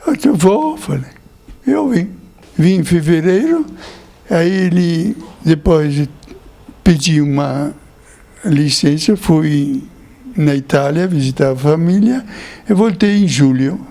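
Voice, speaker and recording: An older Italian-born priest, a man, telling his memories slowly in an interview, with small chuckles. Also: a little high, lively intonation, vocal fry, dark tone. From Italy. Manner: slow storytelling with chuckles.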